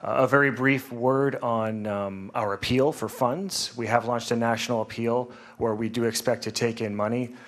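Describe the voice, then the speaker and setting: A man speaks to a community meeting in a measured, steady way, pausing on small fillers. His voice sits at an ordinary middle pitch. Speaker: Red Cross representative. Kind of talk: public meeting statement.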